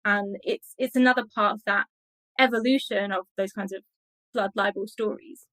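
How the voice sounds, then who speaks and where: A woman speaking as an expert interview guest, explaining things in a measured, scholarly way. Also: mid-range voice, fairly even intonation, fairly bright tone, no echo, poor audio.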